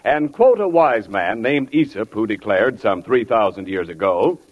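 Animated American 1940s radio announcer man. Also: lowish voice, moderate pace, breathy edge. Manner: polished, grandiloquent. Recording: dull vintage 1940s radio recording.

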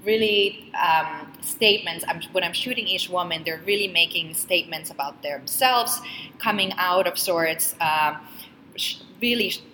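A woman's voice: on the low side, moderate pace, pushed and bright, slight background noise. Woman in a reverberant room. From Finland. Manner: earnest, many "you know" fillers. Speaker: photographer guest. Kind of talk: interview answers. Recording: echoey room with long reverb.

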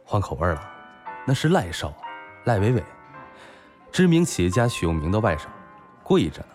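An actor playing a brash, bantering, foul-mouthed bar owner and loyal buddy in a Chinese audio drama. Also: male voice, lowish voice, sing-song, normal speed, darkish tone, faint music behind.

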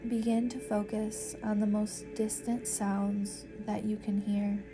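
A woman guiding a yoga nidra meditation in a measured, soothing, instructional way with pauses. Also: medium pitch, level tone, over music, poor audio. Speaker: yoga nidra meditation guide and podcast host. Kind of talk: guided meditation narration.

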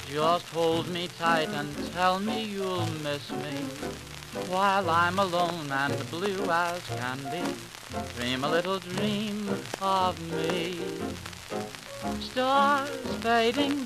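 Vintage ballad vocalist, extremely unhurried, middle register, prominent musical backing. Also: medium pitch, poor audio. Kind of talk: slow sung vintage ballad.

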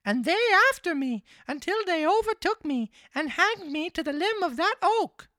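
Woman playing naive marionette boy, very high pitch, excitable, earnest, childlike, storybook character. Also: normal speed, dry recording, clean studio sound. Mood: aggrieved, breathless.

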